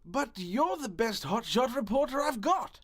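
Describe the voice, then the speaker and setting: A man's voice: extremely high pitch, very animated, a little slow, slight background noise, exaggerated voice. A man playing a pompous, callous, rich newspaper owner with a grandiose manner in a comedic audio drama. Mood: haughty.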